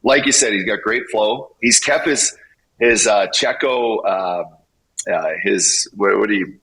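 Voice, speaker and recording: A man co-hosting a podcast, a former hockey player, talking with energetic, playful hype. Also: on the low side, unhurried, breathy edge, poor audio. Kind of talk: casual podcast chat.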